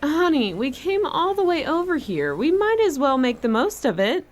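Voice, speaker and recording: A woman's voice: high-pitched, sing-song, normal speed. A woman playing a frightened, protective mother in an audio horror drama, speaking urgently and expressively. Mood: coaxing.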